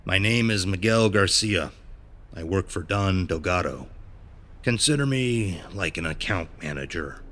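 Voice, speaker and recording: Menacing cartel collector male actor, very low forceful voice, slow mock concern, horror audio drama. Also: expressive. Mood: smooth menace. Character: menacing well-groomed cartel debt collector, falsely courteous man.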